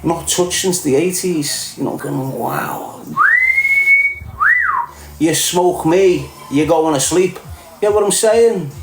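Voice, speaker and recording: Slow, mocking Scouse man ranting. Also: fairly high pitch, reverberant, loud music behind the voice, low-quality recording. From Liverpool, England. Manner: mocking, crude, laughs at his own jokes. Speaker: online streamer. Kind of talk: ranting casual monologue.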